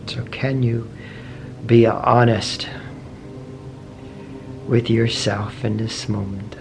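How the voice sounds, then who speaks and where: A man leading a guided meditation in a gentle, measured way, pausing often between short phrases. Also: medium pitch, little pitch movement, creaky, low-quality recording. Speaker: meditation teacher. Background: soft meditation music.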